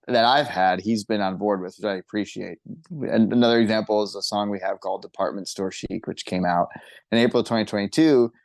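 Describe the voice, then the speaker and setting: A man's voice: fairly low pitch, no room sound. A man who sings and writes songs for a band, talking casually about making music in a podcast interview. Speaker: singer and songwriter in a band.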